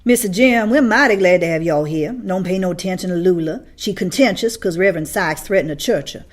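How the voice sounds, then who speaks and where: Lady reader as a friendly southern guy, folksy, loud and welcoming, storybook. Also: fairly low pitch, fairly quick, lightly acted. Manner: reassuring, folksy.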